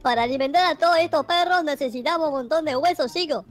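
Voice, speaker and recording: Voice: high-pitched, quick pace, close to an everyday voice. A performer voicing a cheeky, streetwise talking pet dog in a Minecraft skit, chummy and slangy. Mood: chummy, insistent. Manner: slangy, ends phrases with "chico". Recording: music behind the voice.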